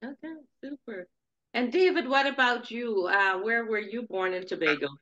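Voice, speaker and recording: A woman's voice: on the high side, normal speed, fairly bright tone, muffled recording. A middle-aged woman hosting a podcast interview over a video call, with lots of encouraging acknowledgments. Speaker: podcast host.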